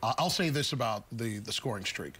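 A middle-aged man hosting a postgame TV studio interview, joking and animated as he asks questions. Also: medium pitch, brisk, husky. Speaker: studio host. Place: TV studio set.

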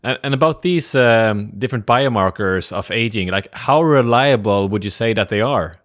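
A man's voice: fairly low pitch, animated, normal speed, a bit bright, no room sound, studio quality. A man hosting a podcast, asking hesitant, searching questions. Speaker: podcast host. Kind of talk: interview questions.

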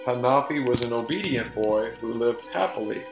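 A middle-aged man narrating a folktale in a deliberate, emphatic, theatrical storyteller's delivery. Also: mid-range voice, lively intonation. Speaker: storyteller and presenter. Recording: roomy echo with music behind.

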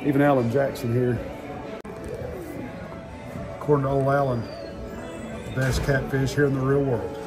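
A man's voice: mid-range voice, level tone, moderate pace, pauses often, music in the background. A man, chatting casually to his viewers in an easygoing vlog, laughing often. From southern United States. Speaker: vlogger.

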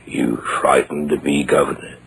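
An actor playing a sinister, mysterious stranger with a London accent, slow and taunting, in an old radio horror drama. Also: male voice, a bass voice, steady tone, gravelly, a bit bright, slightly rough, full character voice, poor audio. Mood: sly menace. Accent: London English. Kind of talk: 1940s radio horror acting.

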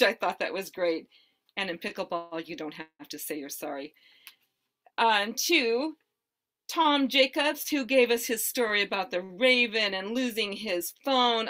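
A woman's voice: medium pitch, pushed and bright. A woman serving as general evaluator, giving enthusiastic feedback on a club meeting and praising each speaker.

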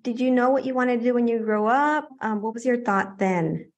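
A woman hosts a podcast with a fairly high voice. The sound is close and dry, with very little room on it. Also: moderate pace, no room sound, dull audio. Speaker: podcast host. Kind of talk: scripted introduction and interview.

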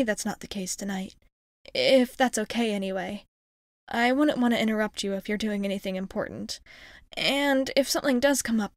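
Woman acting as cute shy alien girl in asmr roleplay, kinda high voice, hesitant and earnest, close dry mic. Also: no room sound, lightly acted. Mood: shy, reassuring. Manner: hesitant, earnest, casual girlish phrasing. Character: friendly, shy young female alien crewmate.

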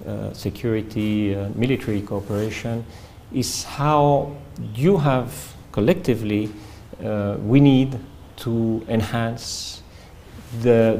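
Measured French-accented man in his fifties. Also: fairly low pitch, dark tone, slight background noise. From France. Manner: measured, hesitant with many fillers and restarts. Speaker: ambassador being interviewed. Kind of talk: diplomatic interview answers. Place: formal reception room indoors.

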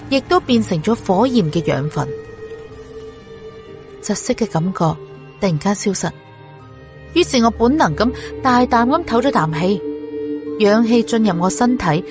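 A woman narrating a scripted audiobook story. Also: medium pitch. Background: music.

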